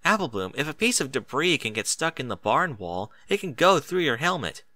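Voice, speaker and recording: A man voicing a female pony schoolteacher, concerned and gently stern, with proper, admonishing speech. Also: medium pitch, sing-song, brisk, dry recording, pitched above the performer's own voice. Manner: proper, admonishing, careful diction.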